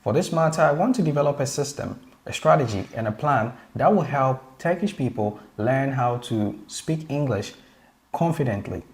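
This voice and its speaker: A man's voice: mid-range voice, lively intonation, moderate pace. A man hosting a casual business tutorial, talking eagerly and speaking directly to his audience. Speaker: host.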